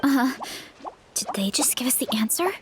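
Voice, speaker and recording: A woman's voice: sits high, animated, moderate pace, background noise. A woman playing an earnest, thoughtful young adventurer in a video game, speaking a little tentatively. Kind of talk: video game character acting.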